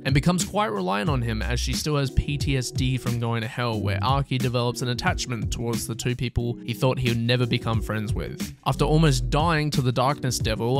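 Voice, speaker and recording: Young guy doing a video essay voiceover, kinda sardonic and jokey, normal pitch, music going behind him. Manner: informal, joking, sardonic. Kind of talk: scripted anime review narration with casual asides.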